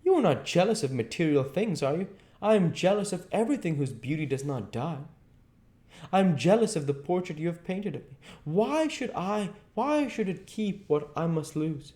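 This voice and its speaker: Brief: a man playing a vain young man in anguish, pushed well above his natural pitch, pleading and lively. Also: moderate pace.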